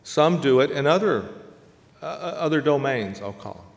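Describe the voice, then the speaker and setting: A man's voice: fairly high pitch, expressive, moderate pace, gravelly. A man in his sixties giving a conversational keynote lecture from a lectern on a stage, listing examples in short phrases. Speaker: keynote speaker. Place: large dark auditorium stage at a lectern. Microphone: lectern microphone. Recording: reverberant auditorium sound.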